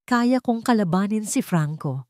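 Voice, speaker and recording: A woman's voice: mid-range voice, expressive, unhurried, darkish tone, no room sound, clean studio sound, voice pushed up, natural voice. A woman reading a proud but tender billionaire heroine. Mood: heartfelt. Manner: heartfelt, firm.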